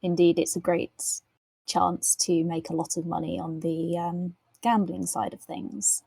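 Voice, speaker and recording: A woman improvises casually as a player in a tabletop game, choosing her phrases thoughtfully. Her voice is husky with heavy fry, and she takes her time. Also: fairly low pitch, little pitch movement, measured pace, warm tone, dry recording.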